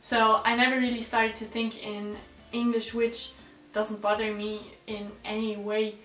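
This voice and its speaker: A woman's voice: a little high, flat delivery, measured pace, fairly bright tone. A teenage woman from South Tyrol, a native German speaker with a South Tyrolean accent, chatting casually to her viewers as a vlogger. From South Tyrol, Italy. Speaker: vlogger, exchange student. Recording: roomy echo, distant mic, background music.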